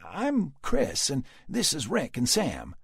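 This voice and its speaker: A man voicing an earnest young man who speaks quickly and helpfully. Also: medium pitch, wildly swooping pitch, gravelly, breathy edge, no echo, clean studio sound, pitched above the performer's own voice, exaggerated voice.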